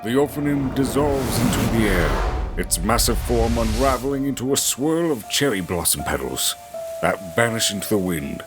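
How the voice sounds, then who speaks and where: A man's voice: medium pitch, expressive, normal speed, over music. A man narrating a fantasy audio drama in a theatrical, scripted storytelling style.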